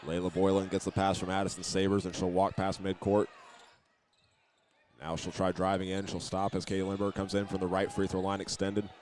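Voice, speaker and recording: A man's voice: deep voice, completely flat delivery, brisk, a little mellow. A man doing live play-by-play commentary of a basketball game, matter-of-fact, sometimes stumbling and repeating words. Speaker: play-by-play announcer. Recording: noisy gym ambience behind the voice.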